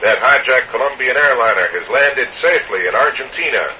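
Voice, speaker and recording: An actor playing a local radio newsreader, delivering a scripted bulletin in an impersonal manner. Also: male voice, mid-range voice, lively intonation, fairly quick, sharp tone. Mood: neutral. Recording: dull, faintly noisy radio sound.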